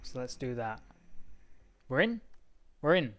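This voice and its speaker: A man's voice: mid-range voice, very animated, unhurried, a bit bright. A man live-streaming a racing sim, chatting casually and talking to himself as he clicks through menus, with frequent laughs.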